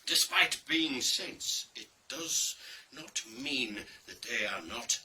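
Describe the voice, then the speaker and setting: A man delivers a scripted dark tale with theatrical deliberation. His voice is very bright and forceful, and he takes his time over each phrase with marked pauses. He sounds set well back from the microphone. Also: medium pitch, expressive. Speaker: reader of a dramatic monologue. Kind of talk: scripted dramatic storytelling, digital play reading. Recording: distant microphone, faint background noise.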